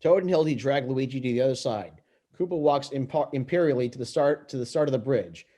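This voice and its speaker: A man reading stage directions aloud in an amateur script read-through, halting and tripping over words. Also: mid-range voice, dark tone.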